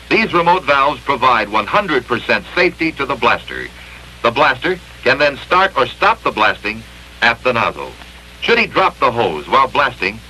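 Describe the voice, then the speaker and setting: A man narrating a 1960s industrial training film in an emphatic, clearly enunciated instructional manner, from an old film soundtrack. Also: medium pitch, bright, forceful tone, a little hiss or hum, low-quality recording.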